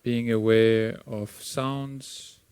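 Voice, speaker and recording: A man with a Sri Lankan accent leads a guided meditation in a slow, unhurried voice. His tone is smooth and clear, and gently instructive. The recording is close and dry, with no room sound. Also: medium pitch, no room sound. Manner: slow, calm, gently instructive with long pauses. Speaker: meditation teacher.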